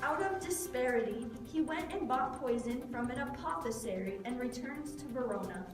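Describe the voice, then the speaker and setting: A woman with a high voice reads scripted narration for a puppet show. The room rings heavily with echo and she is slightly far from the mic, with faint music behind. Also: animated, normal speed.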